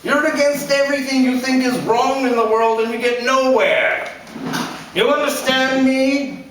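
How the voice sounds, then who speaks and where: A man's voice: very high voice, measured pace, a bit bright, slight background noise, voice pushed up. A man voicing an angry, belittling middle-aged drunk father, ranting and sarcastic, heard in an echoey room from far off.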